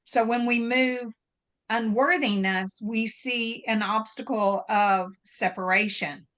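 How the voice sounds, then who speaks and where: A woman sharing reflective thoughts in a group discussion, speaking in a measured way. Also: on the high side, steady tone, fairly bright tone.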